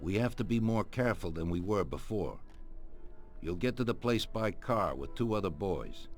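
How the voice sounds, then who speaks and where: A man playing a composed, commanding older mafia boss in a video game cutscene, speaking in a measured, authoritative way. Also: low voice, lively intonation. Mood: businesslike. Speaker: crime boss. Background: music.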